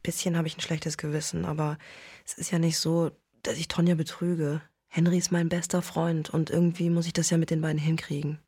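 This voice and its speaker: A woman's voice: low-pitched, level tone, fairly quick, dark tone. A woman acting a reflective young narrator who confides conflicted inner thoughts in a scripted drama.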